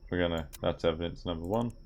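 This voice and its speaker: A young man with a gravelly voice, commenting on a game as he plays and mutters through on-screen text. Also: deep voice, fast, darkish tone. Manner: mutters aloud while reading on-screen text. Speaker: gaming video creator. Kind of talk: game commentary while playing. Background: keyboard typing and clicks.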